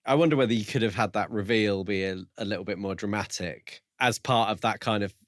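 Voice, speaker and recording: Guy on a book podcast, lowish voice, kinda musing, chatty, really dry recording. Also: brisk, dry recording. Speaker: podcast host. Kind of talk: casual book review discussion.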